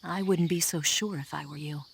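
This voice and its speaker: Female spy on radio support in a game; low-pitched, soft and steady. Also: normal speed, vocal fry, slight background noise.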